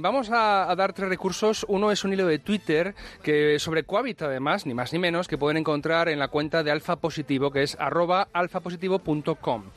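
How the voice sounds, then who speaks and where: Rambling radio co-host, a man. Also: a little high. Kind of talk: radio chat, giving recommendations.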